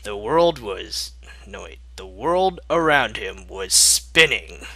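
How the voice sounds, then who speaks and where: Bright-voiced man reading fanfiction sarcastically. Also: fairly low pitch, big pitch swings, takes it slowly, gravelly, breathy edge, dry recording. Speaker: fanfiction reader. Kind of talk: story narration with sarcastic asides.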